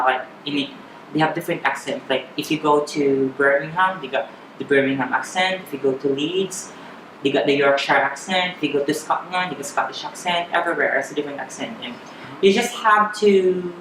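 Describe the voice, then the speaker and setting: A woman in her thirties with a Filipino accent, chatting candidly as an interview guest, often halting and repeating words. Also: low-pitched. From Philippines. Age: 30s. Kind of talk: personal interview chat. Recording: echoey room with clear background noise.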